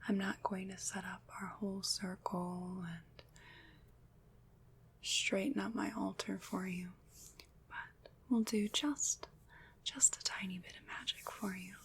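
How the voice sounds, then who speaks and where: A woman playing a gentle, soothing witch in an ASMR roleplay, whispering softly and breathily with tender, formal, old-fashioned phrasing. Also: mid-range voice, fairly even intonation, takes it slowly, long pauses, husky, exaggerated voice. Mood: reassuring, tender. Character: gentle, soothing female witch, caring and slightly mysterious.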